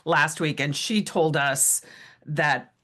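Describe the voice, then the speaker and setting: Low-voiced, bright woman podcast host. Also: fairly even intonation, slow pace. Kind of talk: casual interview chat.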